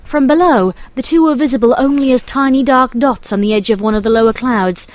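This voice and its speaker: A woman's voice: a little high, fairly quick, no echo. A woman reading scripted story narration as the narrator of a tale.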